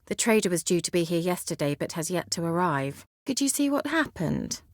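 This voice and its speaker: An actress playing a self-assured, coy and haughty young sorceress in a video game, with articulate, teasing, theatrical delivery. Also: female voice, on the low side, sing-song, brisk, dry recording, studio quality. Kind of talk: video game character acting.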